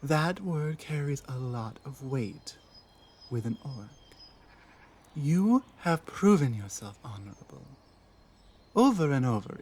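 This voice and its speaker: A woman voicing an earnest, honor-bound female orc in an ASMR roleplay, formal yet halting in manner. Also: very deep voice, darkish tone, a little hiss or hum, full character voice. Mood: solemn, grateful.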